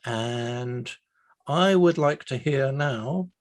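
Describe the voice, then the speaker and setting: A man's voice: medium pitch, extremely slow. Jokey chatty man hosting a video call. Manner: jokey, self-deprecating, chatty. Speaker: meetup host. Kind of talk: casual online meetup chat.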